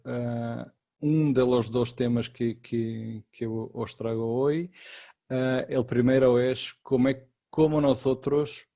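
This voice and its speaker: Slow, gravelly man with many fillers. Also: medium pitch, mellow, no echo, clean studio sound. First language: Portuguese. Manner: slow and deliberate, many fillers and repeated words. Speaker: consultant. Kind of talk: webinar masterclass talk. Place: online webinar.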